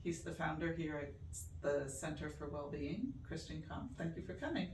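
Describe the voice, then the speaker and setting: Brief: woman interviewer with a gravelly edge, far from the mic in an echoey room, faint hiss. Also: sits low, steady tone, normal speed, darkish tone. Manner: brief encouraging prompts. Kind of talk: interview questions.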